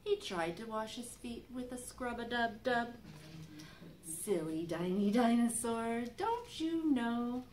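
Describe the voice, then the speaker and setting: A middle-aged woman, a children's librarian, reading a picture book aloud to young children in an expressive, sing-song way. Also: on the high side, slow pace. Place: library reading area with magazine racks, indoors. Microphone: camera microphone a few feet away. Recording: faint background room noise.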